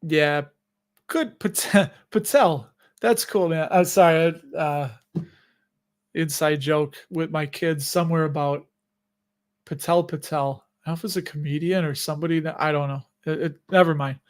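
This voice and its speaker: A middle-aged man with a Chicago accent chats loosely through viewer questions on his livestream. His voice sits a little on the high side, and he often breaks off mid-thought and laughs at his own jokes. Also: fairly even intonation, moderate pace. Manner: rambling and chatty, with frequent restarts and self-amused laughs. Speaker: host of a dividend investing livestream. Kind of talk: casual livestream chat answering viewer questions.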